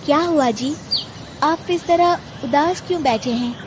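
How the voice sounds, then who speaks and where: A woman voicing a sweet, dutiful young wife in a cartoon story, gentle and reassuring, with noise behind. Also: high-pitched, a little slow, voice pushed up. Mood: concerned, reassuring. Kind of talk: cartoon moral story acting.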